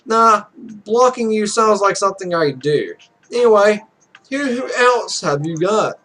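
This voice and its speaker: A man's voice: sits high, lively intonation, a little slow, sharp tone, a little hiss or hum, exaggerated voice. A man voicing a cocky, grumpy young pro gamer from a visual novel, blunt and brash. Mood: skeptical, cocky.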